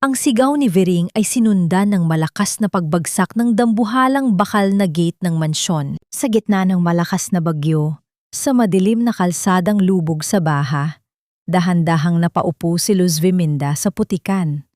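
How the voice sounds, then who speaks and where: A woman's voice: on the low side, moderate pace, darkish tone, no echo, studio quality. A woman narrating a scripted story in a dramatic storytelling style.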